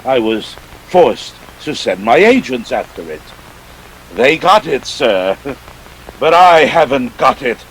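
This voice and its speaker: A man's voice: medium pitch, very animated, takes it slowly, a bit bright, slight background noise, poor audio. A man playing a suave, ruthless treasure-hunting villain in a radio play, with pompous, courtly speech and sly chuckles. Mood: smug, eager. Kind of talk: 1940s radio play acting.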